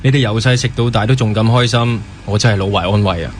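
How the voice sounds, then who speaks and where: A man's voice: fairly low pitch, fast, a little hiss or hum, lightly acted. A young man with a Hong Kong Cantonese accent playing a caring, wry older brother in a naturalistic radio drama.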